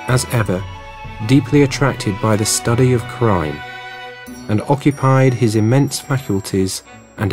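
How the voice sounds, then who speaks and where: A man reading literary narration for an audiobook in a measured storytelling manner. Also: lowish voice, a little mellow.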